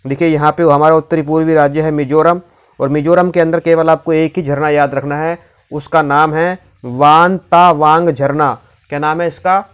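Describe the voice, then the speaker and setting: Man teaching exam facts, smooth voice. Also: fairly high pitch, lo-fi sound. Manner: repeats key facts, quizzes the viewer. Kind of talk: exam-prep geography lesson.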